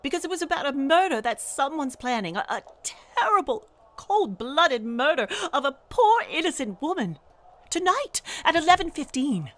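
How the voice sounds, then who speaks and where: A woman's voice: high-pitched, sing-song, normal speed, fairly bright tone. A woman acting an imperious, panicky married woman in a radio play, haughty, agitated and insistent. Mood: urgent, agitated.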